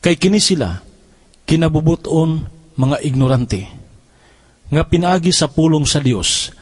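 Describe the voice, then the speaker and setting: Husky-voiced man reading scripture. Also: on the high side, lively intonation, unhurried. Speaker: scripture reader. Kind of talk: solemn Bible reading.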